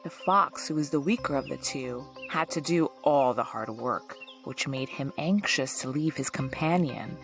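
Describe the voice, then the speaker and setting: A woman's voice: a bass voice, moderate pace, few pauses, faint music behind. A woman hosting a storytelling podcast, reading scripted narration in a true-crime style.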